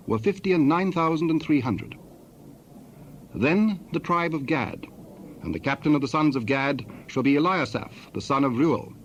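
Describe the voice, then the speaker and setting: Higher-voiced man narrating scripture. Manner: formal, measured reading. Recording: dull sound, faint background noise.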